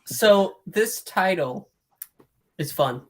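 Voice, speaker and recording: A man's voice: sits high, lively intonation, very slow. A man on a casual chat panel, playful and often giggling. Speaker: podcast panelist.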